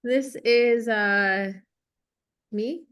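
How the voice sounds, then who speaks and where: A woman's voice: fairly high pitch, extremely slow, fairly bright tone, smooth. A woman taking part in a coaching demonstration on a video call, speaking hesitantly with many fillers. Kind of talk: casual explanation.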